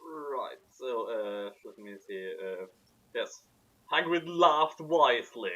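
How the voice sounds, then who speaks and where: A man's voice: medium pitch, animated, takes it slowly, pushed and bright. A man narrating a story and breaking off for sarcastic commentary, on a lo-fi recording. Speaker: narrator and co-host. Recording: dull, lo-fi voice recording.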